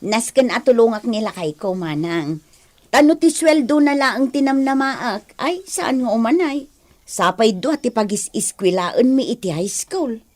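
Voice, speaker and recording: A woman's voice: a little high, lively intonation, unhurried. A woman with an Ilocano accent acting a practical, modest married woman in a radio drama. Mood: earnest.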